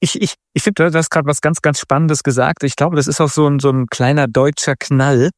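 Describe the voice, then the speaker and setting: A man's voice: fairly high pitch, steady tone, fairly quick, dry recording. Man hosting an interview, dry and close. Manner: engaged interviewer, checks in with short tag questions. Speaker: host. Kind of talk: interview conversation.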